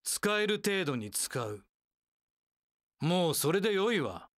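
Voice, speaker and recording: Cold, haughty warlord, slow and deliberate. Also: male voice, sits high, big pitch swings, a bit bright, dry recording, studio quality, full character voice. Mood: cold disdain. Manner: imperious, clipped, scornful. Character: cold, haughty male warlord strategist, contemptuous of underlings. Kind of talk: Japanese action game voice acting.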